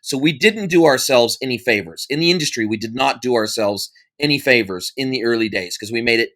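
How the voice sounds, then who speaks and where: A man's voice: medium pitch, a little fast, fairly bright tone. A man in his forties with an American accent, a podcast guest and consultant telling an informal story with many restarts and fillers. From United States. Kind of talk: conversational interview answer.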